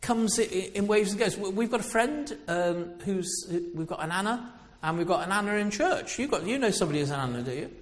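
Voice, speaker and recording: An older man from with a loud voice, preaching an informal sermon in a chatty, meandering way with frequent pauses. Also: high-pitched, expressive. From Coventry, England. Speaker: lay preacher. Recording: echoey hall sound, dull lo-fi.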